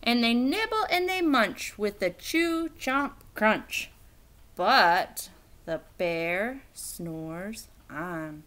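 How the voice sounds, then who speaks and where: A woman reading a children's picture book aloud in an expressive, theatrical, emphatic storytelling style. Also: mid-range voice, drawn-out words.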